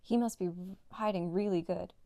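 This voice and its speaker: A woman's voice: fairly low pitch, fairly quick, mellow, dry recording, natural voice. A woman voicing a feisty, determined male hunting dog in a children's storybook, speaking earnestly. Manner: barking orders, earnest.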